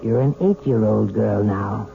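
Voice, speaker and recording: An actor playing a calm, patient psychiatrist who coaxes a hypnotized patient in a 1970s radio drama. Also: male voice, on the low side, unhurried, creaky, faint music behind. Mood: coaxing. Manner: soothing, coaxing, patient. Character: calm, probing male psychiatrist. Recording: tinny old radio broadcast.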